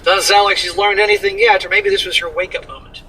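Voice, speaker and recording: A man with a high, bright voice talks bluntly and swears freely as he reacts. His tone has a husky edge. He sits a little back from the microphone. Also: fairly even intonation, quick pace, distant mic, low-quality recording. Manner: blunt, profane, scolding. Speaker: commentary co-host reading an article. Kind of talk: casual reaction commentary.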